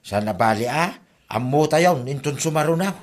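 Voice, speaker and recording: A man's voice: on the high side, expressive, normal speed, natural voice. A man acting a disapproving village uncle in an Ilocano comedy drama, with a Filipino Ilocano accent.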